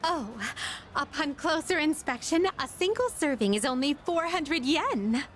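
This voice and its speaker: High-voiced, elegant, sheltered young lady. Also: lively intonation, measured pace, faint music behind. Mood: curious, polite. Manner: formal, ornate, precise wording. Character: elegant, curious, formal young woman, sheltered and naive. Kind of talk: video game voice acting.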